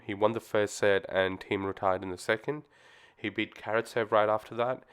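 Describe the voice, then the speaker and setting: Man, low flat delivery, smooth voice a touch worn from the flu, laid-back rambling vlogger. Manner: easygoing, rambling, frequent ums and restarts. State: getting over the flu, voice slightly off. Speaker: tennis commentary vlogger. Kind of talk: casual solo opinion talk.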